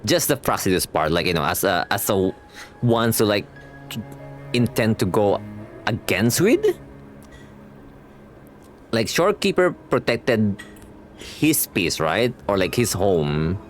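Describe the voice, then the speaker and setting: A man's voice: lowish voice, very animated, takes it slowly, long pauses, soft background music. A young man giving casual, slangy reaction commentary as a game streamer, rambling and rephrasing as he goes.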